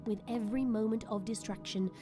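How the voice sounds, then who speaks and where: A woman's voice: on the high side, steady tone, a little fast. A woman reading scripted, dramatic story narration as the narrator of an audio drama. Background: music.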